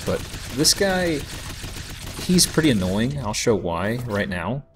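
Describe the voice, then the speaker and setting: A man giving casual, joking let's play commentary in a rambling, halting way. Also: medium pitch, sing-song. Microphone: voice-over microphone over game audio. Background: game music.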